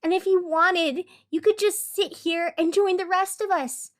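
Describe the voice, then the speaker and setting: Casting: actress as female late-night radio host for audio drama, very high voice, fiery yet tender. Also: fairly even intonation, measured pace. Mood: angry, exasperated. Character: female radio host, wounded, fiery, turning soothing on air.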